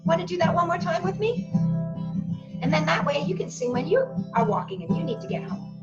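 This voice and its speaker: A woman's voice: very high-pitched, very animated, brisk, over loud music. A woman hosting a children's storytime, reading aloud expressively and speaking directly to young listeners. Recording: echoey room, muffled narrow sound.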